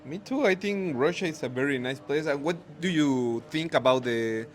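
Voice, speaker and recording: A man with a Mexican Spanish accent, a native Spanish speaker, interviewing in halting, word-searching English. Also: on the high side. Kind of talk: casual interview questions. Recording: faint background noise.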